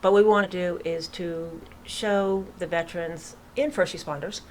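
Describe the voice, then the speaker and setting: A woman in her sixties with a Boston accent talks as a guest in a studio interview. Her voice sits low, and she speaks in a friendly, plain-spoken way. A faint ambient hum runs under the recording. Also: steady tone, moderate pace. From Massachusetts, USA. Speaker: guest, charity founder. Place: local radio studio.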